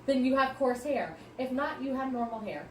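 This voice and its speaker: A woman with a fairly high voice speaks with a teacher's confidence, laying out the rules plainly. She sounds set back from the mic in a reverberant room. A faint hiss sits under her voice. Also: expressive, normal speed, distant mic. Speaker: podcast co-host, hairstylist. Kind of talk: casual chat.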